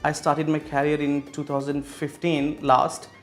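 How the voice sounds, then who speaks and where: A man's voice: on the high side, monotone, unhurried, faint music behind. A man from Pakistan in his thirties, a business guest answering interview questions with many fillers and restarts. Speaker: real estate executive guest.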